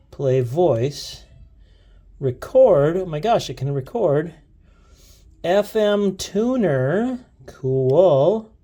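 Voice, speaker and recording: A man's voice: a little high, warm tone. A man hosting a casual, unscripted gadget demo, hesitating and thinking aloud as he fiddles. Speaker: gadget video host.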